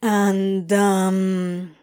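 Woman putting on a lanky male demon, stammering, halting, unhurried. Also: medium pitch, little pitch movement, dark tone, clean tone. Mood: awkward. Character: lanky laid-back male demon, awkward.

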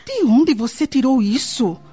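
Woman acting husky-voiced Brazilian mother-in-law, genteel and caring. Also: high voice, very animated, mellow, full character voice. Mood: motherly concern. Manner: theatrical, measured, motherly. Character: caring, protective middle-aged mother-in-law, genteel society matron. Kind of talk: radio soap opera acting. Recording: dull lo-fi radio drama, music underneath.

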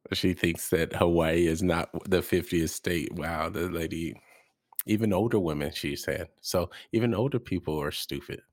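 A man's voice: low-pitched, normal speed, no echo, studio quality. A man hosting a reaction podcast, rambling and hesitant as he comments casually and laughs often.

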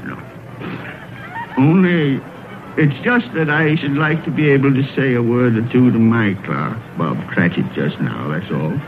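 A man's voice: medium pitch, lively intonation, normal speed, narrow, tinny audio, noisy background, lightly acted. A man acting an old, emotional, remorseful miser in a theatrical old-time radio drama style, exclaiming and repeating his words. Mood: hesitant. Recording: old radio broadcast, thin band-limited, music behind.

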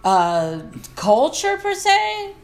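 A woman guest on a casual podcast, reflective and quick to laugh. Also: very high-pitched, huge pitch swings. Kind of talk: casual chat.

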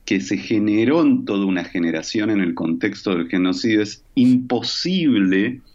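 A man's voice: fairly low pitch, expressive, a little mellow. Reflective man, muffled audio. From Argentina. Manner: reflective, measured, pauses with "eh" and "¿no?". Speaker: guest. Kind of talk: explanatory interview answers.

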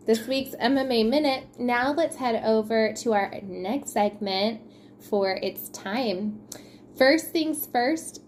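A woman's voice: on the high side, a little mellow, slight background noise. A woman co-hosting a podcast, chatting casually about sports news in an informal, slangy way. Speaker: podcast co-host.

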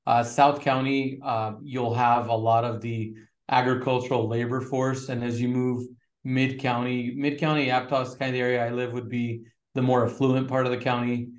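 A middle-aged man from Northern California, a real estate agent chatting casually as an interview guest with relaxed fillers. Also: medium pitch, normal speed, roomy sound. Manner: relaxed, fillers, flat steady delivery.

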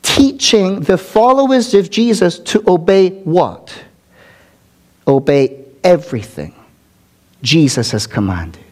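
A man's voice: high-pitched, animated, slow, pauses often. A man preaching a sermon to his congregation as its pastor, earnest, with call-and-response asides to the listeners.

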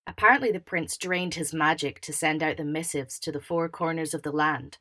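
Woman as a bright, forceful, overbearing elder brother. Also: low voice, fairly quick, dry recording. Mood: enthusiastic. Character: overbearing, scheming, enthusiastic elder brother in a royal family. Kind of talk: multivoice fan audio drama.

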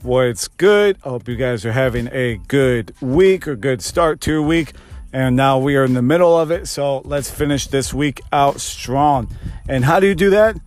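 A man's voice: medium pitch, moderate pace, darkish tone. A man of thirty, a fitness coach, hosting his own podcast and giving an earnest motivational pep talk that repeats phrases for emphasis. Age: 30s.

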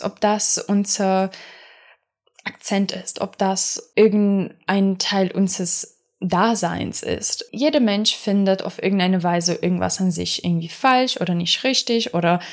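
Rambling young woman podcaster. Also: mid-range voice. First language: not German. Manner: rambling, full of fillers and restarts. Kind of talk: casual personal monologue.

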